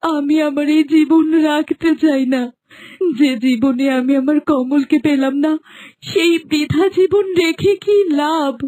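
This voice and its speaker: A woman's voice: high voice, measured pace, mellow. A woman playing a devoted, heartbroken young woman in a melodramatic cartoon, with a plaintive, dramatic delivery. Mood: grieving. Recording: faint music under the voice.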